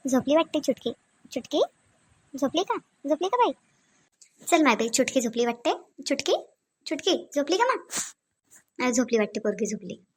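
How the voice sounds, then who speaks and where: A high, soft cartoon voice of a young village mother acted by a woman, with a Varhadi Marathi accent. She is gentle and coaxing. She sounds dutiful and puts herself last. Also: sing-song, normal speed, long pauses. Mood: tender, coaxing. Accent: Varhadi Marathi of Vidarbha. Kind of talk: family cartoon dialogue.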